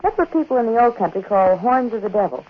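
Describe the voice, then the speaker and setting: Husky streetwise wife, old radio. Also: female voice, fairly high pitch, big pitch swings, fast talker. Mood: uneasy, explaining. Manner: slangy, hesitant, brooding. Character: uneasy streetwise wife who dabbles in psychic tricks. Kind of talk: 1940s radio drama acting. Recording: muffled 1940s radio broadcast recording.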